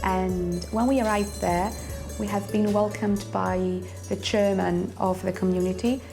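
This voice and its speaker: A woman's voice: mid-range voice, steady tone, a little slow, creaky. Female teacher over music. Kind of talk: interview explanation.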